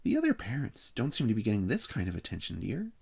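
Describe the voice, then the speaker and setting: A man voicing a worried, proper middle-aged mother, polite and gently exasperated, in an audiobook. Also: fairly low pitch, huge pitch swings, fast, creaky, slightly rough, voice pushed up, heavily acted. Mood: worried exasperation. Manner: polite, fretting. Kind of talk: children's audiobook character voice.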